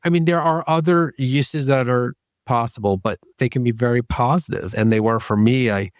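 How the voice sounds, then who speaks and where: A man speaking as a podcast guest, giving measured, thoughtful answers and repeating points for emphasis. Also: medium pitch, smooth, no room sound. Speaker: podcast guest, psychedelic therapy clinician. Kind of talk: reflective interview talk.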